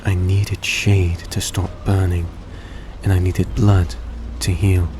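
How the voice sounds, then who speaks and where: A man playing a suave, tender centuries-old vampire in a roleplay audio drama, speaking in a soft, coaxing, genteel way to the listener. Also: low-pitched, slow. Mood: earnest, grateful. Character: suave, gracious centuries-old male vampire, young-looking, tender and grateful. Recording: steady background noise, vehicle-like ambience.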